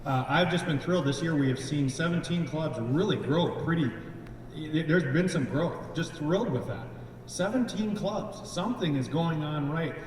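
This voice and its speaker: An eager man gives a talk in a large event room, fairly high-voiced and full of earnest restarts. He is heard from a distance, with strong echo and a long hall reverb. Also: normal speed, slight background noise. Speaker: district membership chair. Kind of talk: enthusiastic presentation. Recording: echoey hall from far off.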